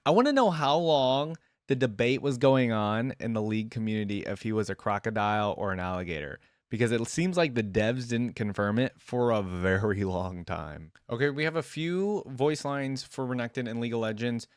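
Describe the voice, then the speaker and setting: A man's voice: mid-range voice, normal speed, hardly any pauses, clear, resonant tone, studio quality. A man hosting a reaction video, commenting casually and expressively. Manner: expressive, echoing lines he hears.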